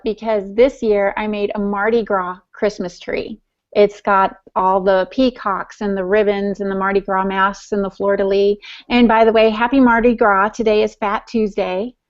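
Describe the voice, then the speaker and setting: A woman's voice: medium pitch, dull audio. A woman podcast co-host chatting casually in a talkative, teasing manner. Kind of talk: casual chat.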